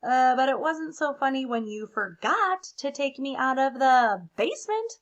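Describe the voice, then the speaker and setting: A woman's voice: high-pitched, lively intonation, normal speed, highs cut off. A woman voicing a timid, terrified crayon with a nervous, hesitant storybook delivery. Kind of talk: expressive picture-book read-aloud.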